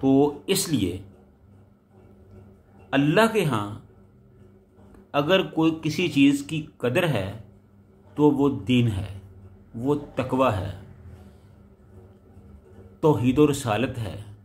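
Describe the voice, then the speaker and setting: A man preaching a sermon. He recites a verse, then explains it in short, measured phrases that rise for emphasis. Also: mid-range voice, lively intonation, a little mellow.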